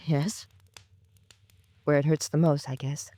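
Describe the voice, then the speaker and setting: A woman's voice: deep voice, steady tone, takes it slowly, dark tone, no echo. An actress playing a distressed, pleading woman of about thirty in a 1950s radio melodrama, sounding plaintive. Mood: dejected, grateful. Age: 30s.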